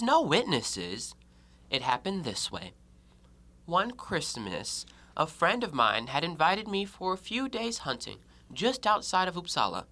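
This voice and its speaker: An educated, self-justifying man , played by an actor in an audio drama, speaks in formal, reasoned sentences. The voice is bright and crisp with animated swings of pitch, recorded close and dry. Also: dry recording, heavily acted. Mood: matter-of-fact confessing. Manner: articulate, rationalizing, formal stage diction. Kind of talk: stage-play acting in an audio drama.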